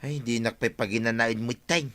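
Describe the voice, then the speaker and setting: A man's voice: medium pitch, steady tone, moderate pace, a bit bright, lightly acted. A man playing a put-upon village husband, grumbling and joking in a colloquial way, in an audio drama with an Ilocano accent. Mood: reluctant, grumbling. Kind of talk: Filipino audio drama acting.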